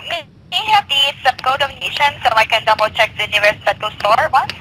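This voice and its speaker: A woman with a highish, bright voice handles a customer service call. She is polite and hesitant, and the phone line carries some noise. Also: animated, fast. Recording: noisy telephone line.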